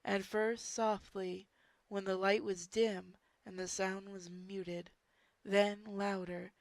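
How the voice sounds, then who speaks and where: A woman giving an amateur dramatic story narration, reading deliberately and expressively. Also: medium pitch, flat delivery, no room sound. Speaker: narrator of online group readings.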